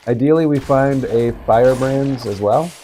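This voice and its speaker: A man with a raspy voice from being sick, giving low-key commentary on his gameplay. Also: moderate pace, a little hiss or hum. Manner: relaxed, low-key play-by-play with occasional coughs. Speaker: gaming video host. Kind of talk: casual game commentary.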